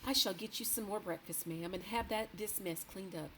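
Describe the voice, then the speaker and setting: A woman's voice: medium pitch, moderate pace, fairly bright tone, slight background noise, pitched above the performer's own voice, lightly acted. A woman voicing a startled, deferential middle-aged maid who keeps saying ma'am. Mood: flustered.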